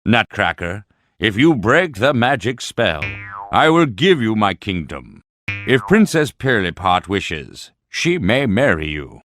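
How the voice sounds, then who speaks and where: Formal storybook king, read by a male narrator, measured, low-voiced, slow. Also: sing-song, a bit bright, dry recording, full character voice. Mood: solemn. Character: solemn fairy-tale king.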